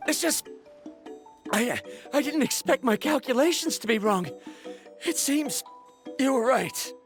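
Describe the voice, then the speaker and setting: A woman's voice: high voice, expressive, a little slow, soft background music. A woman playing an earnest, self-doubting young female scholar in a video game, speaking hesitantly. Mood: apologetic.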